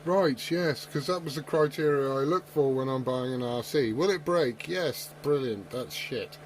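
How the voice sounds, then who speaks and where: A man's voice: mid-range voice, normal speed. A man chatting casually over CB radio, joking and swearing freely. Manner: storytelling with tall tales. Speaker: CB radio operator. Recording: very noisy CB radio with clicks.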